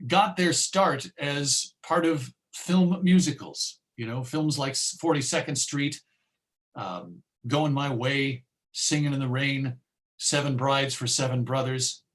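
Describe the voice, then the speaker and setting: A man giving an informative talk as a presenter on music history. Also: on the high side.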